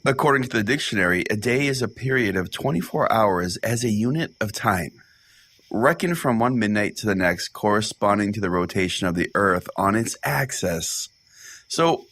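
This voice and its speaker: A man's voice: on the low side, a bit bright, no echo. A man playing an earnest, smug pastor who hosts a comedic radio show, with a theatrical matter-of-fact delivery. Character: earnest, easily scandalized male pastor hosting a radio show. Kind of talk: comedic mock talk radio hosting.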